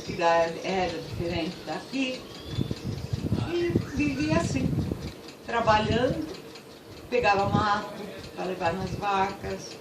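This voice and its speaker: A woman's voice: medium pitch, lively intonation, takes it slowly, pauses often. Elderly Portuguese-born woman, distant and echoey. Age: 80s. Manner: short plain answers. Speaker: interviewee. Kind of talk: casual interview. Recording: echoey room, distant mic, loud noise.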